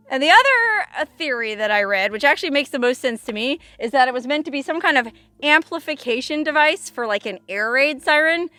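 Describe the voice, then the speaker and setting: A woman talking casually to camera outdoors, chatty and animated, with slangy wording, as the host of a vlog. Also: sits high. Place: outdoors on a desert hilltop.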